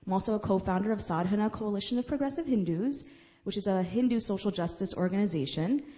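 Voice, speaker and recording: An earnest woman from the United States addresses a college audience as a panelist. Her voice sits at a middle pitch, and she talks in a personal, slightly rambling way. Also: quick pace, mellow. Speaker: panelist, community organizer and lawyer. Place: college event room.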